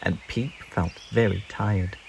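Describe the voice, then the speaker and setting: A man reading a children's story aloud in an expressive, scripted narration style. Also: on the low side, slow pace, vocal fry, background noise. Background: birdsong and insect sounds.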